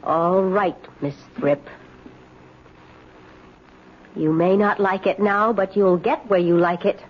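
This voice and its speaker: A woman's voice: mid-range voice, takes it slowly, lightly acted. An actress playing a bossy, domineering houseguest in a radio drama, imperious and taunting as she gives orders. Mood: smugly taunting. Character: bossy, domineering woman houseguest ordering her host about. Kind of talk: 1970s radio drama acting. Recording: dull, noisy old radio broadcast recording.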